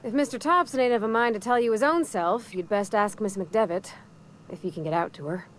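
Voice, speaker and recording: A woman's voice: medium pitch, fairly quick, a little hiss or hum, natural voice. Actress as a shy, folksy young woman mechanic. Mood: thoughtful, earnest. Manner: hesitant, folksy, polite, rambling. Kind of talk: video game dialogue.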